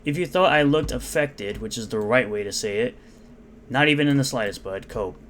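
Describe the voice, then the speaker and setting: A man's voice: mid-range voice, expressive, moderate pace, a bit bright, a little hiss or hum. A man reading out and mocking comments in an unscripted, sarcastic rant. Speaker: commentary YouTuber.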